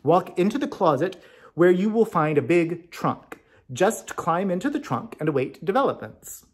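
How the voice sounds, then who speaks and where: A man's voice: high voice, big pitch swings, moderate pace, husky, pitched above the performer's own voice, heavily acted. A man voicing a grumpy, fat little magical man who threatens and pleads in a storybook tale. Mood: urgent. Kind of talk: storybook character reading.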